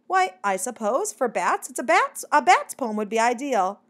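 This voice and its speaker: A woman's voice: on the high side, sing-song, normal speed, voice pushed up. A woman voicing a conceited, cordial male mockingbird poet in a storybook, with a pompous, emphatic manner. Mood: gracious, condescending.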